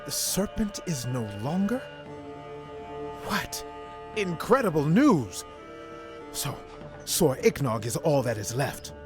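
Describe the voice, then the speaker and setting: A man playing an excitable, admiring citizen in a fantasy video game, eager and exclamatory. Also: on the high side, slow, pauses often. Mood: astonished. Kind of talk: video game character acting. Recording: music behind the voice.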